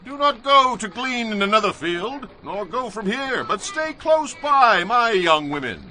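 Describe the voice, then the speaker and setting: A generous, authoritative landowner speaks in a bright voice with very lively swings of pitch. He is protective and commanding, in the manner of a Bible audio drama. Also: measured pace, exaggerated voice. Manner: authoritative, expressive.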